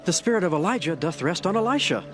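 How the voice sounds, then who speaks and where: A man's voice: high voice, animated, fast talker, over music. A man playing an earnest follower of the prophets in dramatized scripture, entreating urgently and respectfully. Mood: earnest pleading. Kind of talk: dramatized scripture audio acting.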